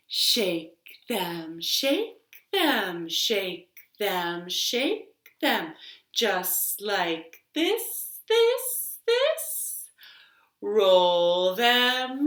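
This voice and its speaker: Bright, very animated woman leading children's storytime. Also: fairly high pitch. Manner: simple encouraging instructions, drawn-out repeated words. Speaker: children's storytime host, librarian. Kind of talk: sing-song children's storytime with action rhymes. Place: living room at home. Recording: roomy, echoing home living room.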